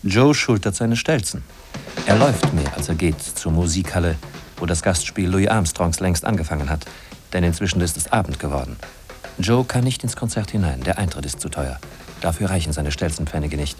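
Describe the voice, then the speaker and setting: An actor as a matter-of-fact narrator in a 1950s radio drama, speaking in short scripted sentences. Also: male voice, low voice, animated, brisk, darkish tone, faint music behind. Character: matter-of-fact male narrator following a stilt walker.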